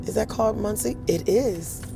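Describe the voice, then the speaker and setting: A woman with a deep, husky voice hosts a casual storytelling tour outdoors, animated and acting out the voices of people she quotes. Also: moderate pace, music in the background. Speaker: host.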